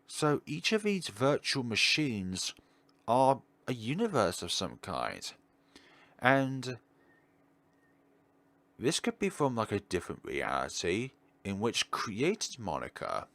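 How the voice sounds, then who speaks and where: A man giving casual playthrough commentary as a gaming video host, thinking aloud with questions and trailing pauses. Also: medium pitch, huge pitch swings, creaky, fairly bright tone, dry recording. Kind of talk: casual playthrough commentary, partly reading on-screen text aloud.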